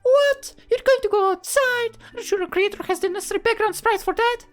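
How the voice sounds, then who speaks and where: A woman's voice: extremely high pitch, brisk, soft background music. A woman voicing a bubbly, easily flustered, sweet schoolgirl in a visual novel game.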